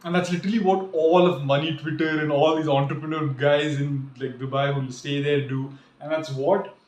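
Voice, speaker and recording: A man's voice: a little high, fairly even intonation, normal speed. A young man who grew up in the UAE, rambling earnestly through a casual vlog, full of "like" and "literally", talking from a small room. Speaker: vlogger. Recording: echoey room.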